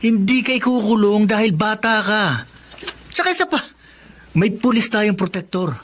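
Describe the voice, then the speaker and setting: A man's voice: high-pitched, expressive, unhurried, fairly bright tone, full character voice. A man playing a sly, wheedling street thief in a Filipino radio drama, talking in a cajoling, swooping way. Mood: sly, coaxing.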